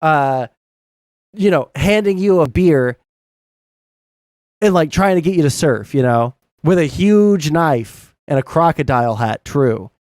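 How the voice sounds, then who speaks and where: A young man from Southern Arkansas with a Southern accent hosts a live stream, answering chat in a blunt, rambling way full of "you know" and "right?". His voice sits a little high for a man. The sound is dry and close, with no room around it. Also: animated, a little slow, a little mellow, no room sound. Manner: rambling, blunt, swears, frequent fillers. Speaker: streamer host. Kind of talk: casual live-stream commentary reading chat.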